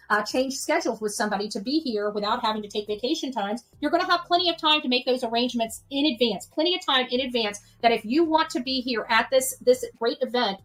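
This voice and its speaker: A woman's voice: a little high, poor audio. A woman hosting an unscripted livestream, addressing her followers in an earnest, exhortatory way and often checking for agreement. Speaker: livestream channel host and activist organizer.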